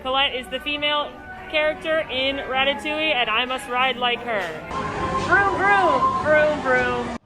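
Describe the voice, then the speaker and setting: A woman's voice: high voice, a little slow, loud music behind the voice, low-quality recording. A woman hosting an upbeat theme-park vlog outdoors, talking in a bubbly, chatty, playful way. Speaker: vlog host.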